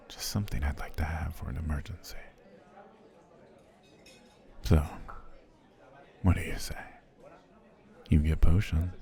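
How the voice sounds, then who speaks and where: A man voicing a deep, gravelly potion seller. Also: exaggerated voice. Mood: persuasive. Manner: formal, courtly, flattering speech; drops into soft whispers. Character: smooth-talking male potion seller, formal and obsessive. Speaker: alchemist on a dinner date. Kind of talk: ASMR roleplay.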